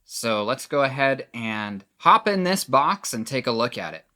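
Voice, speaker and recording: A man's voice: mid-range voice, big pitch swings, unhurried, pushed and bright. Male product reviewer, middle register, casual demo delivery, plain and explanatory.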